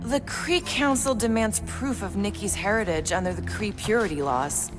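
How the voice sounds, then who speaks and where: A woman's voice: mid-range voice, music in the background, close to an everyday voice. Woman as a cool, dry alien warrior. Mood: cool, serious. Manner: dry, measured, matter-of-fact. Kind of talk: video game character acting.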